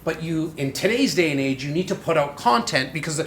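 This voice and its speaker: A man's voice: lively intonation, fairly quick. A man in his forties from Canada, co-hosting a casual chat show and talking bluntly and emphatically, swearing freely. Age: 40s. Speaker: podcast co-host. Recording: echoey room with faint rumble.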